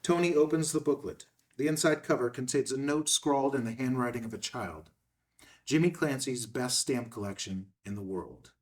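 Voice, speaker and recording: A man's voice: medium pitch, normal speed. Distant-miked man reading screenplay directions. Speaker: narrator reading stage directions. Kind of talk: scripted screenplay narration.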